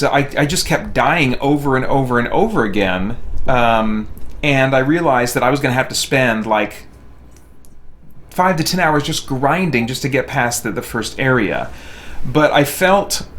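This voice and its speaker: A man's voice: medium pitch, fairly bright tone. A man chatting casually as he hosts a game livestream, rambling and thinking aloud. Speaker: game streamer and reviewer, host of the stream. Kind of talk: casual livestream chat while playing a game. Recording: clear background noise.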